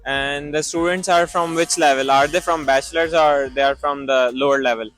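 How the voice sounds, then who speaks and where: A man's voice: a little high, fairly even intonation, normal speed, fairly bright tone, soft background music. Man hosting student interviews. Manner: asks short direct questions. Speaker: interviewer host. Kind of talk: street interview.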